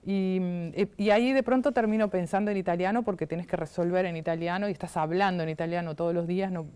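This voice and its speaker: Uruguayan lady singer chatting on a tv interview, lowish smooth voice, keeps restarting mid-sentence. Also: fast. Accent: Uruguayan Spanish. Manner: animated, searching for words with repeated restarts. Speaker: singer-songwriter guest. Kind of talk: casual interview chat.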